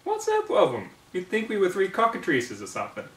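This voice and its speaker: A man's voice: sits high, wildly swooping pitch, brisk, voice pushed up. Storybook country filly, acted by a man: young, earnest, folksy farm pony, heard roomy and a little distant. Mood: loud, surprised. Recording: roomy, distant microphone in home room.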